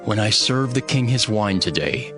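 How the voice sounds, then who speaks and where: A man's voice: fairly low pitch, animated, moderate pace, natural voice. A man playing a devout, sorrowful Hebrew cupbearer who earnestly narrates his own story in a dramatized audio Bible. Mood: pleading, reverent. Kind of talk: dramatized first-person scripture narration. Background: background music.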